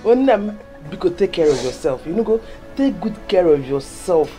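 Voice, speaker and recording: Older village mother, Nigerian Igbo accent, doting and pious, emphatic repeated phrases, lively intonation, film drama. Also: a little high, normal speed, faint music behind. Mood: tender advice. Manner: emphatic, repetitive.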